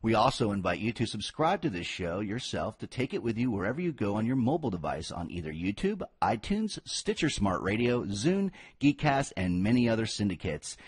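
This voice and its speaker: Upbeat husky male podcast host. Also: fairly low pitch, very animated, a little fast, no room sound, studio quality. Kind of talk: scripted show intro.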